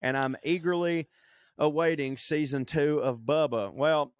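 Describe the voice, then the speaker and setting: A man's voice: fairly high pitch, fairly even intonation, unhurried, no echo. A man co-hosting a podcast, reading a listener email aloud with profane, laughing asides. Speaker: podcast co-host.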